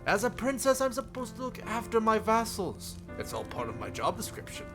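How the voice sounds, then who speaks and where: Visual novel princess, played by a man: high, lilting, sweet, doting and coy. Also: wildly swooping pitch, brisk, over music. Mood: tender, doting. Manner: coy, gentle, tender.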